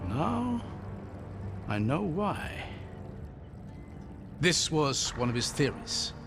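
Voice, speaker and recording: An actor playing a courteous, wry older scholar-traveler in a cinematic video game, talkative and good-humoured. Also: male voice, a little high, drawn-out words, gravelly, full character voice. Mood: grave, explaining. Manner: courteous, wry, expressive storytelling delivery. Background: background music.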